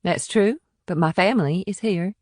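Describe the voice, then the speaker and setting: A woman's voice: fairly low pitch, normal speed, a little mellow, no echo, clean studio sound, lightly acted. A woman playing a confident, proud and flirtatious innkeeper in audiobook dialogue, with a poised, self-assured manner. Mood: guarded. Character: confident, proud, flirtatious businesswoman running an upscale inn.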